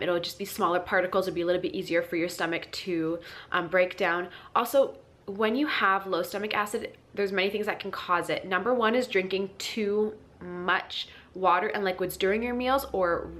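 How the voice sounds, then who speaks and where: A woman's voice: lowish voice, fairly bright tone. A woman with a stuffy, congested voice from a cold, giving chatty wellness advice to her channel with lots of ums and restarts. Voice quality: nasal, congested. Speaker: wellness vlogger. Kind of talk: casual advice to camera.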